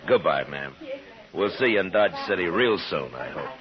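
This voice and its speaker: An actor playing a steady, stern frontier marshal with an American accent and a measured, dry delivery in a radio western. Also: male voice, sits low, a crowd in the background. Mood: tactful. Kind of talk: old-time radio western acting and narration. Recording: muffled old radio recording, music.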